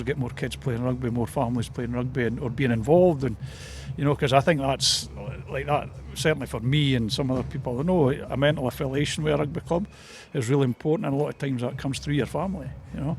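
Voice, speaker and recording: A man's voice: mid-range voice, a little hiss or hum. A man with a Scottish Borders accent giving a casual, hesitant, rambling interview about his club.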